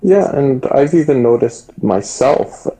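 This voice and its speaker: Dark-voiced man chatting. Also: medium pitch, animated, slow, rough recording. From Canada. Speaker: podcast co-host. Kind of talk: casual chat.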